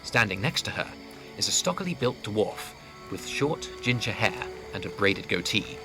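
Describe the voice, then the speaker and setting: A man narrating a scripted fantasy audio drama as storyteller, with a gravelly voice. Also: on the low side, animated, normal speed. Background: clear background music.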